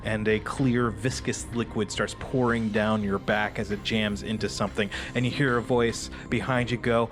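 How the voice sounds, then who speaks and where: A man's voice: on the low side, fairly even intonation, normal speed, few pauses. A man running a tabletop roleplaying game, describing scenes vividly and slipping into offhand rules talk. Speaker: game master. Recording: music behind the voice. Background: background music.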